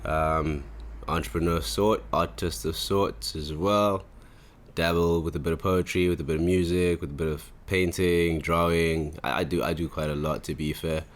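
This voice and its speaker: Young male podcast host, low-pitched voice, loose slangy rambling delivery. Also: fairly even intonation, moderate pace. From Zimbabwe. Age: 20s. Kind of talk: casual chat.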